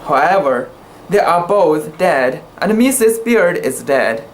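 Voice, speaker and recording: Man, non-native English, higher-than-average voice, slow pace, halting dramatic reading of literary sea fiction. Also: very animated, slight background noise, low-quality recording. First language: not English. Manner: halting, careful delivery with occasional stumbles. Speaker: amateur reader.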